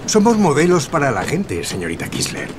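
A blunt, animated studio mogul. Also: male voice, medium pitch, a little fast, background noise. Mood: patronizing. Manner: patronizing, businesslike, persuasive. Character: blunt, bossy Hollywood studio mogul man. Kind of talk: scripted audio drama acting.

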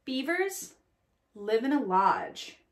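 A woman's voice: on the high side, wildly swooping pitch, takes it slowly. A woman around her thirties, a teacher reading a picture book aloud to children and talking to them directly with little questions. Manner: addresses young listeners directly with questions and tag "right?". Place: small indoor room at home or classroom corner.

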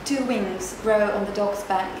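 A woman performing a play reading as a gentle storyteller, in slow, simple storybook phrasing. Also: mid-range voice, lightly acted. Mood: wistful. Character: gentle storyteller of a fairy tale about a dog. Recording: very echoey room, clear noise.

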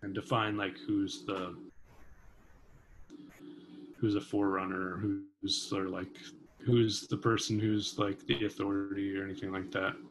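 Lowish voiced guy on a video call from his kitchen, muses a lot, lots of likes and ums, bit of room hum. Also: level tone, measured pace, long pauses. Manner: thoughtful, hedging, many fillers. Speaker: vibraphonist and composer guest. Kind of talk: casual conversation. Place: home kitchen. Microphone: video call webcam.

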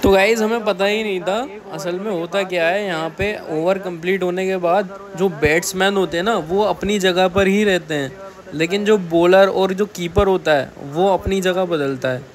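A man's voice: sits high, steady tone, moderate pace. A young man vlogging at a cricket stadium, talking casually to viewers and friends. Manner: chatty, talks to viewers and companions. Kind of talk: casual vlog narration. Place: crowded cricket stadium stands, outdoors. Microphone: handheld phone at arm's length. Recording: loud crowd noise in a stadium.

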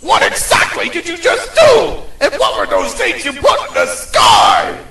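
A blustering mad-scientist villain in a radio play, pitched high and swinging wildly up and down. He is pompous and theatrical, with a gritty edge to the voice. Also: huge pitch swings, measured pace, gravelly, sharp tone, full character voice. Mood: indignant outrage. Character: blustering male scientist villain, indignant and scheming. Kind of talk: amateur radio play acting.

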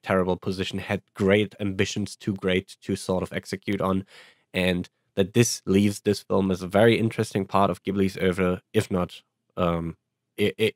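A man with a low-pitched voice hosts a casual film podcast. He talks reflectively and wordily, and the sound is dry and close. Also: dry recording. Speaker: podcast host.

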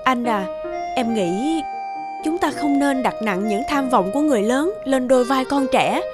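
A woman voicing an understanding mother in an animated moral story, speaking gently, earnestly and persuasively. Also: sits high, normal speed, music in the background.

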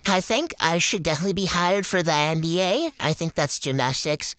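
A woman's voice: on the low side, fairly quick, a bit bright, no room sound, natural voice. Woman doing a bratty ditzy noob gymnast. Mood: bossy. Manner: valley-girl drawl, silly and boastful. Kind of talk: improvised game roleplay voiceover.

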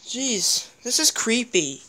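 A woman's voice: on the high side, big pitch swings, takes it slowly, sharp tone. A woman filming a ghost-hunting vlog on a handheld phone inside a dim house, exclaiming and repeating herself as she looks around. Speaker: vlogger exploring a house. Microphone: handheld phone camera.

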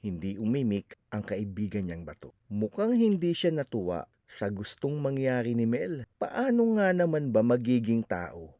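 A man reading a children's story aloud with expressive, animated narration. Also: mid-range voice, moderate pace, no room sound, studio quality.

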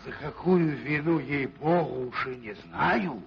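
A swaggering, troubled Cossack from an old cartoon. His voice is gravelly and forceful, swooping widely as he tells his tale in dramatic fashion. The soundtrack is dull. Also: mid-range voice, animated. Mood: wry storytelling. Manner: slow, dramatic folk-tale telling. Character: brooding, swaggering Cossack storyteller with a troubled soul. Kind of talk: theatrical Soviet cartoon acting.

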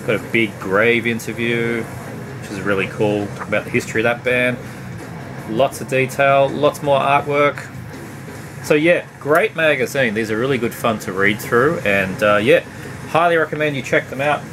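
A man's voice: mid-range voice, normal speed. Middle aged guy rambling about records at home, lots of ums, room hum going on behind. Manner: relaxed, rambling, frequent uhs and ums. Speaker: vinyl collection vlogger. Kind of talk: casual record review chat. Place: home room lined with CD shelves. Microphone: camera at desk distance. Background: background hum, faint tape music.

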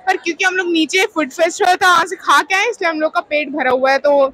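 A woman's voice: extremely high pitch, fairly even intonation, fast talker. A young woman chatting directly to her viewers as she walks through a shopping mall for her vlog. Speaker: vlogger. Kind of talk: casual shopping vlog narration. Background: music.

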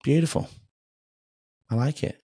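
A man's voice: measured pace, mellow, no echo, studio quality. A man in his forties hosting a conversational podcast interview, speaking in an encouraging, affirming way. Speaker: podcast host. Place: small home studio indoors.

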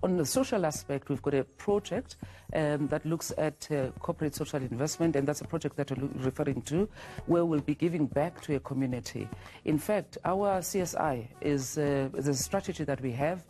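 Middle-aged woman CEO guest, dark mellow tone, slow halting answers, lapel mic in a TV studio. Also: sits low. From South Africa. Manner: measured, halting with fillers and restarts. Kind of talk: TV morning show interview. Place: TV studio set.

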